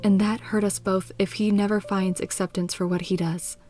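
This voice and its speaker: A woman voicing a caring, loyal young woman in a visual novel, speaking with earnest, gentle candor. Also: mid-range voice, level tone, moderate pace, soft background music, natural voice. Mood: somber, earnest.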